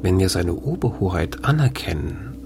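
An actor playing a grave chronicler, reading a historical account in measured, formal, archaic wording. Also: male voice, sits low, very animated, background noise. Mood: formal reporting. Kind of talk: scripted literary narration of a historical account. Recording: music behind the voice. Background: music.

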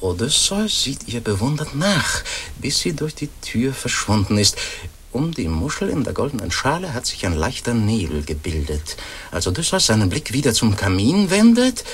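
An actor playing a learned live commentator, formal and full of suspense, in a 1940s radio drama. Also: male voice, fairly low pitch, fairly bright tone, slight background noise, full character voice. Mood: hushed wonder. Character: solemn, excitable live commentator, learned doctor. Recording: vintage radio, faint music behind.

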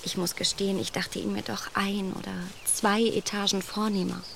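An actress playing a proud, snobbish young gentlewoman in a radio play, speaking in a poised, condescending and emphatic way. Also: mid-range voice, level tone, moderate pace, creaky, noisy background, natural voice. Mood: cool disdain.

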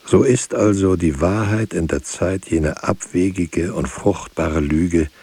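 An actor playing a brooding, weary writer who speaks poetic radio drama monologue in a deliberate, halting way. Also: male voice, dry recording, exaggerated voice. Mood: grave, reflective. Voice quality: gravelly, husky, low. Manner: deliberate, halting, hushed at times. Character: brooding, weary male writer pondering word and truth.

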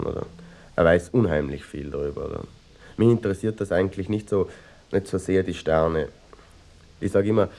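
An actor playing a brooding, earnest small-town man in a radio drama, rambling haltingly in a western Austrian accent and tagging questions onto his phrases. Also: sits low, a little mellow. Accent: western Austrian, Vorarlberg. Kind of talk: naturalistic mock-documentary radio drama.

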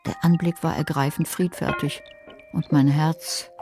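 A wry, sardonic narrator. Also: female voice, low voice, fairly even intonation, measured pace. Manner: dry, sardonic storytelling. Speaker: narrator and main character. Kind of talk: radio drama narration. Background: music.

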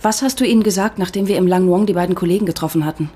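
A woman's voice: on the low side, very fast, warm tone, husky. An actress playing a determined female investigator in a radio play, asking pointed, insistent questions. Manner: probing, insistent.

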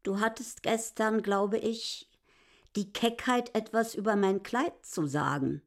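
An actress playing a haughty, easily offended innkeeper in a radio play, speaking imperiously and sharply. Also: female voice, medium pitch, a little slow, close to an everyday voice. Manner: imperious, indignant, sharp-tongued. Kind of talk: literary radio play acting.

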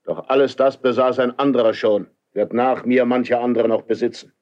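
A man's voice: mid-range voice, steady tone, brisk, darkish tone, highs cut off, lightly acted. A man playing a stern, lonely ageing king in classical verse radio drama, speaking in a solemn, declamatory way. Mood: brooding, imperious.

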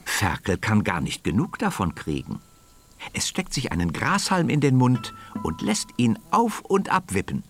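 A man narrating a scripted children's story in a measured way, now and then dropping to a hush. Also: fairly low pitch, wildly swooping pitch.

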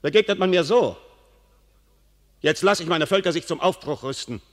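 An actor playing a proud, hot-tempered duke in a classic radio play, declaiming verse with indignation. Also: male voice, fairly high pitch, moderate pace, close to an everyday voice. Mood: indignant. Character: touchy proud duke, quick to anger. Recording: long hall reverb.

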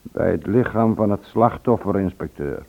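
Lowish-voiced, hesitant police sergeant. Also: male voice, animated, normal speed, vocal fry, faint music behind. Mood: earnest, cautious. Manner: apologetic, deferential, careful. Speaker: actor in a radio play. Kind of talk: Dutch radio drama acting. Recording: muffled lo-fi old radio recording.

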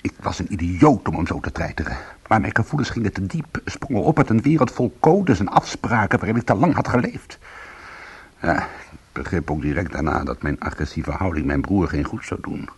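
A man playing a bitter, defiant ex-convict in a Dutch radio play, with a gravelly, husky voice and a sardonic manner. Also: low-pitched, sing-song, fairly quick, full character voice. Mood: tense, confiding. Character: bitter, defiant male ex-convict trying to go straight. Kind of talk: Dutch radio drama dialogue and narration.

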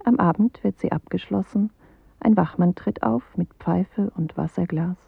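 Hushed, husky solemn inner self. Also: female voice, on the low side, no echo, narrow, tinny audio, full character voice. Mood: solemn, detached. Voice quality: breathy, husky. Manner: slow, hushed, solemn recitation. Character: inward female self, a solemn first-person poetic voice. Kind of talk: radio play poetic monologue.

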